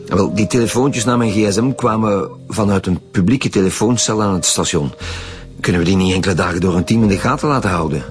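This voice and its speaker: Gruff male murder squad boss, played by an actor, Flemish, commanding and short-tempered, radio play with music bed. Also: lowish voice, fast talker, natural voice. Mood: businesslike. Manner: blunt, commanding. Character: gruff, impatient male chief police inspector leading murder squad. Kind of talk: radio drama acting. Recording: radio drama with music underneath.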